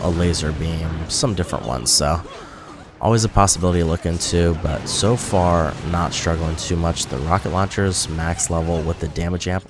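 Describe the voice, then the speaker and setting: A man giving casual, rambling commentary over his gameplay video, sounding a little nasal from a cold. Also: deep voice. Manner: rambling, self-correcting, chatty. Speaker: gaming YouTuber. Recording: music playing behind.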